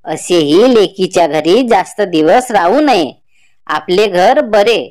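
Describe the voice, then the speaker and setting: A woman voicing a shrewd, affectionate old village grandmother in a children's cartoon story, with drawn-out, coaxing phrasing. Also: lowish voice, animated, darkish tone, no room sound, studio quality.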